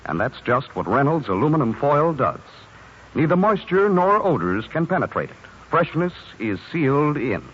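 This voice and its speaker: A man's voice: a little high, very animated, normal speed, fairly bright tone, husky. A man working as an announcer, reading a scripted 1950s radio commercial. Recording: muffled, noisy 1950s radio.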